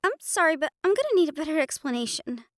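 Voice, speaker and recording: A woman's voice: high-pitched, lively intonation, quick pace, dry recording, studio quality. A woman playing an anxious, bewildered young newcomer to the supernatural, hesitant and earnest, in an audio roleplay drama. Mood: confused.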